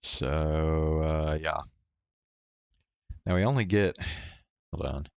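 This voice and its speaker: A man's voice: a bass voice, slow, gravelly, clear, resonant tone, no echo, highs cut off. A man giving casual game commentary as a streamer, muttering hesitantly to himself.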